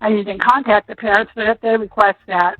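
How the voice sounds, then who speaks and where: A woman's voice: medium pitch, fairly even intonation, brisk, no room sound, faint music behind. An American woman, a school nurse, recounting what she remembers in a rambling, conversational phone interview, often qualifying her memory. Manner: rambling, conversational, hedges recollections. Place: on a telephone call. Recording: muffled, dull phone call audio.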